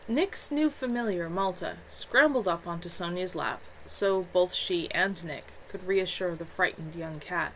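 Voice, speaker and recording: Author-narrator, woman, measured read-aloud storytelling, faint background noise. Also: fairly low pitch, fairly bright tone, poor audio. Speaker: author reading her own novel. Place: home.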